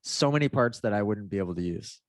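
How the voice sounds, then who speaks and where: A man's voice: lowish voice, animated, quick pace, no echo, studio quality. A man chatting casually in a podcast, rambling and laid-back with lots of fillers, his nose a little stuffed up. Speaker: motocross racer.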